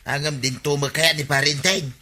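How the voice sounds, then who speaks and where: An actor playing an exasperated, insistent village friend in an Ilocano radio comedy drama, with an Ilocano accent. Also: male voice, fairly high pitch, steady tone, normal speed, pushed and bright. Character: nagging, exasperated male village buddy of the captain.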